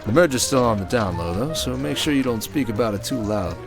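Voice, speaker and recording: A man's voice: medium pitch, animated, a little fast, creaky. Man acting a suave, showy conman over music. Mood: grand, suave. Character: smooth, showy male conman posing as high-rolling heir. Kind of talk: scripted audio roleplay acting. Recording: loud music under the voice.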